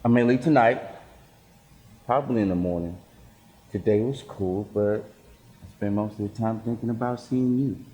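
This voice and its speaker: A man's voice: lowish voice, moderate pace, long pauses, dark tone, lo-fi sound, close to an everyday voice. A man playing a laid-back, affectionate college student boyfriend in a stage play. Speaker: stage actor.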